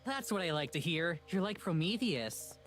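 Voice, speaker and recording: A woman's voice: on the low side, animated, normal speed, soft background music, natural voice. A woman playing a chatty young woman in a visual novel. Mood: playful.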